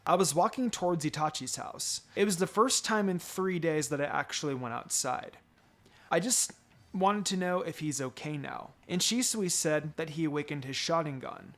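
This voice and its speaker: A man's voice: fairly high pitch, fairly bright tone. A man reading fan fiction aloud as dramatic first-person narration.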